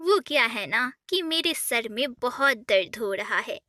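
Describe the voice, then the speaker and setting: A woman's voice: on the high side, lively intonation, a little slow, pushed and bright, no room sound, studio quality. A woman voicing a harsh, scolding older female bird, a mother-in-law in a cartoon. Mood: complaining. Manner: scolding, bossy.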